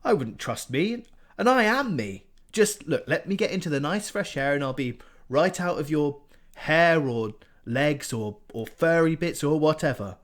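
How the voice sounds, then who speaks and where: A man voicing a nervous, sly male cat who talks fast and wheedles, pitched up. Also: lively intonation. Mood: anxious. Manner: quick, wheedling, higher pitched.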